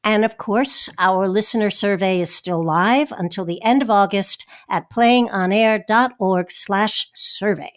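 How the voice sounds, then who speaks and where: A woman's voice: mid-range voice, measured pace, few pauses. A woman hosting a podcast, reading scripted announcements and introductions. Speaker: podcast host. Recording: music behind the voice. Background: music.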